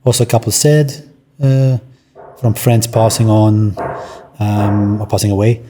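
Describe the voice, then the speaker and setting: A man, an artist speaking in a casual interview, pausing often with ums and restarts. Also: lowish voice, fairly even intonation, background noise. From Germany. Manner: hesitant, many ums and restarts. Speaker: artist guest.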